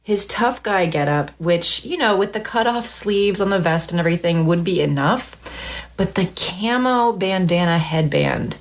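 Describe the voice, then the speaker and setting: A woman with a hoarse voice, chatty and enthusiastic, presenting a recorded segment for a podcast. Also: fairly low pitch, expressive, normal speed.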